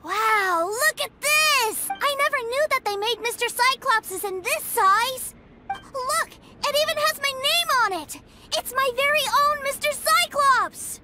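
A woman voicing a wide-eyed, excitable little boy in a video game, an innocent, gushing younger brother. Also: very high-pitched, a little slow. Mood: thrilled. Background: loud game music.

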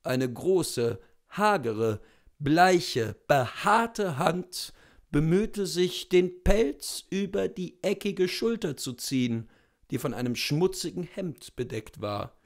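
High-voiced man narrating literature, unhurried. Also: lively intonation. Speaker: literature reader. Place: small indoor room with bookshelves.

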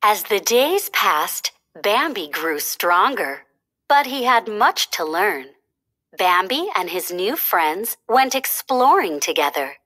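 A woman reading a children's storybook aloud as narrator, with expressive, deliberate delivery. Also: lowish voice.

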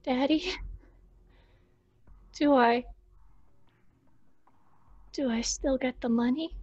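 Husky, bitter grown daughter. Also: female voice, high-pitched, a little mellow, no room sound, dull audio, full character voice. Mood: stunned, desperate. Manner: halting, intense. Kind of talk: horror audio drama acting. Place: small room at home, on video call.

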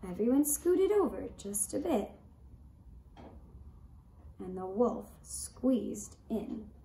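A woman telling a children's puppet story in a deliberate, expressive way, recorded from a few feet away indoors. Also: mid-range voice, gravelly. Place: indoor room set up as puppet stage. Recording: roomy echo, faint background noise.

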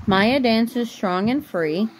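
A mother reads a picture book aloud to her young child. The woman takes her time in a measured storybook delivery. It is a homemade bedroom recording on a phone, with some light noise behind her. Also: on the high side, expressive. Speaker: mother reading a bedtime story. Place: bedroom at home. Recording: noisy home phone recording.

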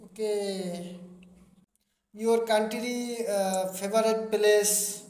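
A man from Bangladesh, a native Bengali speaker, practicing English on a video call with short halting questions and many pauses. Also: high voice, fairly even intonation, a little mellow, roomy sound. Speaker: channel host practicing English. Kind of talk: casual video call chat. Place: indoors, room with blinds.

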